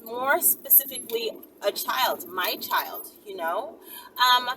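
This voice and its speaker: A woman chatting casually and unscripted to her viewers, with a drawn-out, hesitant way of talking. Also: on the high side, wildly swooping pitch, pushed and bright, recorded from a distance, a little hiss or hum, low-quality recording. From Bahamas. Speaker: vlogger answering viewer questions. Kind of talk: casual, unscripted question-and-answer chat to viewers.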